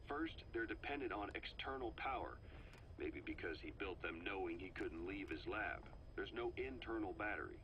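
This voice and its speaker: An actor playing a middle-aged intelligence operative in a game's cassette tape, briefing in a measured, matter-of-fact way. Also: male voice, low voice, expressive, muffled recording, a little hiss or hum. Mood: dry, measured.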